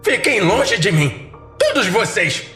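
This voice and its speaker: Man voicing an indignant, incredulous demon in human guise, forceful. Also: high-pitched, sing-song, takes it slowly, roomy sound, soft background music, pitched above the performer's own voice, heavily acted. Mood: alarmed. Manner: incredulous, challenging. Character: shapeshifting male demon posing as celebrity, indignant, suspicious.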